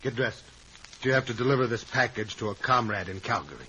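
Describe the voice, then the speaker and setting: A man acting in a 1950s radio drama as a brisk, menacing communist operative. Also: on the low side, animated, bright, forceful tone, highs cut off, slight background noise. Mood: curt, commanding.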